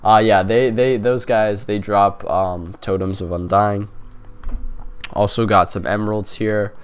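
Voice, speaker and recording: A man's voice: on the low side, steady tone, a little slow, smooth. A young man with a stuffy, nasal cold, chatting casually as he hosts a gaming video with lots of fillers. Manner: rambling, chatty, many fillers. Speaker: gaming channel host. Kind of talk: casual gameplay commentary.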